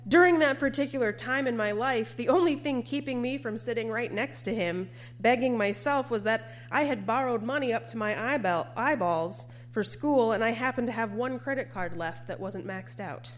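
A woman preacher delivering a scripted sermon in an earnest, measured way, often posing rhetorical questions. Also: a little high.

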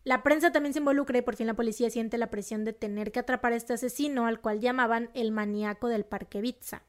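A woman's voice: on the high side, quick pace. A young woman with a northern Mexican accent from Monterrey, co-hosting a podcast and telling a true crime story in a slangy, emphatic, casual way. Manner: slangy, emphatic, animated outbursts.

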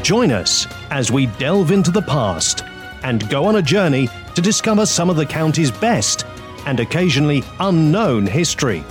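A man reads a scripted podcast opening in a raised, highly animated voice. Clear music plays under him throughout. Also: normal speed. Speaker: podcast intro announcer. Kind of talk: scripted narration. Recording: music bed behind voice.

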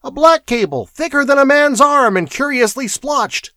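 A man voicing an excitable, insistent male guardsman in a fantasy audiobook. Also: very high voice, normal speed, no echo, studio quality, pitched above the performer's own voice, full character voice. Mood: excited. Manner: agitated, insistent.

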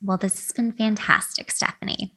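Bright-voiced woman podcast host. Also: mid-range voice, steady tone, moderate pace, slightly rough. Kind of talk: casual chat.

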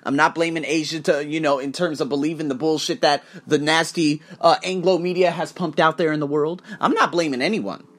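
A man hosting a podcast, delivering an emphatic, profane monologue to camera with sudden rises in pitch and frequent restarts. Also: a little fast, hardly any pauses, a bit bright. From United States. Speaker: podcast host.

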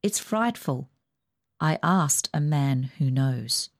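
A woman reading aloud, voicing a gentle middle-aged father in a measured, hesitant way, close to her own voice. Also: low voice, lively intonation, dry recording, full character voice. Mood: earnest.

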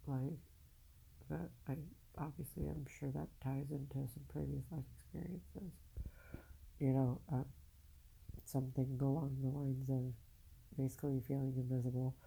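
A woman podcaster speaking off the cuff in a halting, confessional way, candid and casually profane, with a low husky voice and a downcast, weary air. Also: level tone, mellow, low-quality recording. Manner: halting, searching, with long pauses. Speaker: podcast host. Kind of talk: unscripted personal monologue, confessional.

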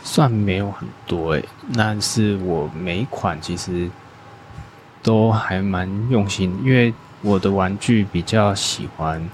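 Man, low voice, hesitant interview guest, faint hiss behind. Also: measured pace, rough recording. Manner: hesitant, many fillers and restarts. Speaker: guest toy designer. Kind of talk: casual interview answers.

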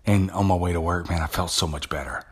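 Husky low-voiced man, casual podcast host. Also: fast. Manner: casual, conversational, with frequent restarts. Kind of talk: motivational monologue.

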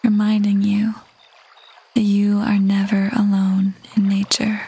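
A woman leading a guided meditation in a soft, breathy half-whisper, gentle and calm with long pauses. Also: mid-range voice. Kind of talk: guided meditation, slow soothing narration. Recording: voice over prominent background music. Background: meditation music.

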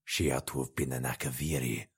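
A man's voice: a bass voice, fairly even intonation, slightly rough, pitched below the performer's own voice, full character voice. A man voicing a hissing, gloating snake-like potentate. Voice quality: hissing whisper. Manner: slow, gloating. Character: sly, menacing male snake-like potentate.

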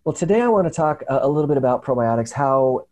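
Slightly raspy man hosting a remote show. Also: mid-range voice, expressive, darkish tone. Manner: earnest, halting, many restarts and fillers. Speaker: host. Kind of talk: casual health talk show chat. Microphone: remote video call.

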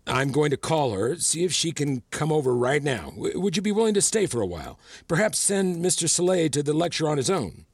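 A man's voice: fairly high pitch, very animated, a little fast, hardly any pauses, slightly rough, dry recording, heavily acted. An actor playing a persuasive, patronizing male professor and official in an audio drama, speaking articulately and coaxingly. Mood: businesslike, persuasive. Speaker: actor in a fiction podcast.

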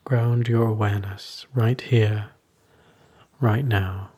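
A man leading a guided meditation as a teacher, soothing and unhurried, with long pauses between short phrases. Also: lowish voice, fairly even intonation, a little mellow, slightly rough.

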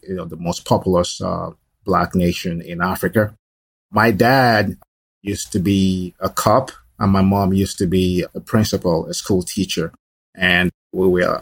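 A middle-aged man with a fairly low voice talks his story through at an easy pace, leaning on "you know" as he goes. The sound is close and dry, with no room around it. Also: breathy edge, dry recording. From Nigeria. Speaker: guest, small business owner. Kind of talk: conversational life story.

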